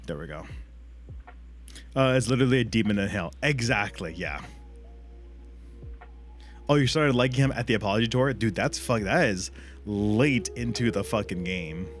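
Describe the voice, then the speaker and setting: A man's voice: on the low side, animated, normal speed, long pauses. A man chatting casually as a livestream host and gamer, rambling in loose slang with frequent swearing.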